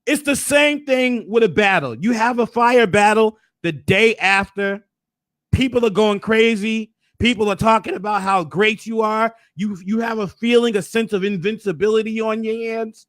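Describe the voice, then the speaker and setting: A hoarse man hosting a casual recap, hyping things up with preacher-like flourishes. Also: high-pitched, moderate pace, fairly bright tone, no room sound. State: hoarse, losing his voice. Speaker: host.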